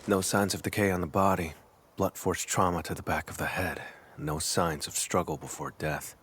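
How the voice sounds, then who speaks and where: A man voicing a stoic, brooding vampire hunter in a video game, speaking in a terse, grave and deliberate way. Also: low voice, fairly even intonation, fairly bright tone, husky. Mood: somber. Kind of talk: scripted game dialogue.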